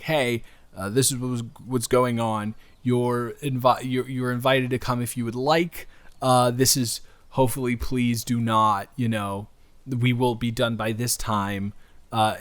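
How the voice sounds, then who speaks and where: A man's voice: mid-range voice, natural voice. A man improvising an audio roleplay as a friendly, slightly awkward young stranger, talking casually and earnestly to the listener with stammered restarts.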